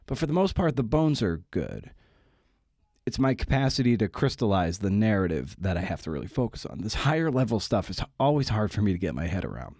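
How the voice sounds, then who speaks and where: A man's voice: fairly low pitch, sing-song, a little fast, a little mellow, dry recording, studio quality. A man hosting a podcast, talking informally in a candid, self-deprecating way. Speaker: podcast host.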